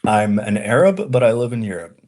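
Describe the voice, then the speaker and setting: A man's voice: lowish voice, sing-song, brisk, a little mellow. A man originally from Iraq, a guest on a video call in a casual political debate, who argues his case and keeps tagging his points with "right?".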